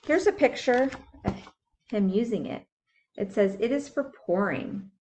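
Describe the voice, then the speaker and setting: A woman's voice: on the high side, lively intonation, measured pace, a little mellow. Woman teacher reading to young kids, explains stuff simply and asks them questions, bit of noise and clicks on the recording. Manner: explanatory, talks directly to children with simple questions. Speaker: school teacher. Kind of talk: read-aloud science lesson for young children.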